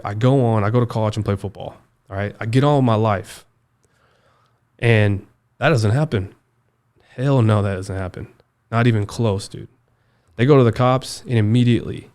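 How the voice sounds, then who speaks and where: The guest across the table on a long-form podcast, a young American guy with a lowish voice, reliving his own past in emphatic, stop-and-start fashion. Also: darkish tone. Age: 20s. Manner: halting, emphatic, repeats himself, says dude and man often. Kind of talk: personal storytelling in a casual interview.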